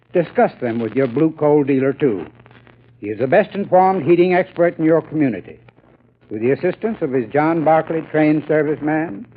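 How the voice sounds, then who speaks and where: A man as a friendly, enthusiastic sponsor's spokesman in old radio advertising style. His voice is a little high, and the sound is tinny with some hiss. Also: natural voice. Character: enthusiastic, friendly male heating expert giving radio sales talk. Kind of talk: 1930s radio advertising talk.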